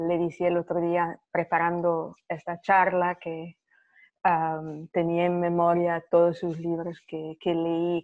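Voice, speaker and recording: A woman on a video call from home, speaking hesitantly and searching for words in a language that is not her first. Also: fairly low pitch. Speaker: writer and researcher, panel guest. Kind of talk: online panel conversation. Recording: dull lo-fi video call audio.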